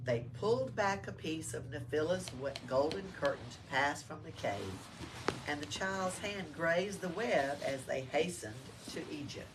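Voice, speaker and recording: Lady reading a kids book out loud, low voice, sounds far from the mic. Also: expressive, measured pace. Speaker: library read-aloud storyteller. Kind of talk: storybook reading aloud to children, with simple asides. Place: indoors in a library. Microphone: distant room mic. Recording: distant mic with faint background noise.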